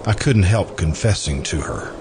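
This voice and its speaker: Man acting a low-voiced, wistful radio-drama friend. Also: expressive, creaky, background noise. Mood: wistful, confiding. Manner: hesitant, wistful, deliberate. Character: earnest man in love with best friend's widow. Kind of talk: old-time radio drama acting, narrating.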